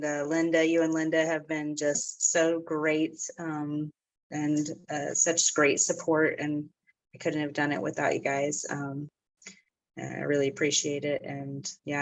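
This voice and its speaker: A woman's voice: deep voice, no echo, rough recording. A woman on a team video call, thanking her supporters in a slightly hesitant way. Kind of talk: casual video-call speech.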